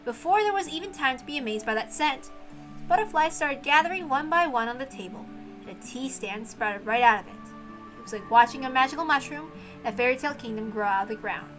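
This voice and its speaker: A woman reading a story aloud as narrator, in an expressive scripted storytelling style with music behind her. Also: high-pitched, brisk, rough recording. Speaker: reader and narrator. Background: background music.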